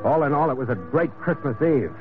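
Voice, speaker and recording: An actor playing a tough, wry sea captain who also narrates a vintage radio adventure in a clipped way. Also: male voice, mid-range voice, animated, brisk, over music, natural voice. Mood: wry narrating. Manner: clipped, wry, hard-boiled. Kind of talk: old-time radio adventure acting and narration. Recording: tinny vintage radio recording.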